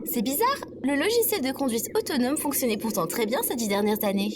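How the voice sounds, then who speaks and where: A woman's voice: a little high, animated, a little fast, natural voice. A woman playing a polite female AI assistant robot with dry, teasing sarcasm in a sci-fi comedy audio drama. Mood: matter-of-fact. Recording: heavy background noise.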